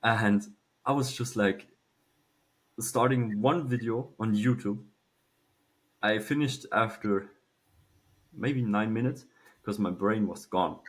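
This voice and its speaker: A man, telling a personal story casually and haltingly, with some swearing. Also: fairly low pitch. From Switzerland. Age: early 20s. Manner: halting, informal, swears freely. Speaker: podcast guest, young entrepreneur.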